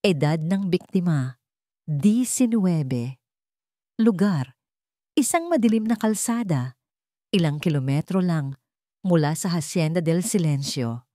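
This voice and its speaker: Filipina lady narrating a drama story, kinda low voice, super dry recording. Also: lively intonation, slow, pauses often, dark tone, dry recording.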